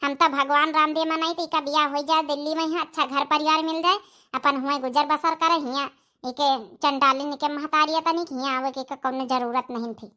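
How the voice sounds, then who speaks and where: A protective middle-aged village auntie in a cartoon, acted by a woman. She has a high, bright voice and advises in a motherly way. Her accent is Awadhi. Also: fairly even intonation, brisk. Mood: caring. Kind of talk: comedy cartoon voice acting.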